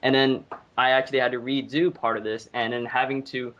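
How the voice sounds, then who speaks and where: A man's voice: mid-range voice, level tone, brisk, fairly bright tone, lo-fi sound. A man who does amateur voice acting, talking casually on a commentary track. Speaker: amateur voice actor.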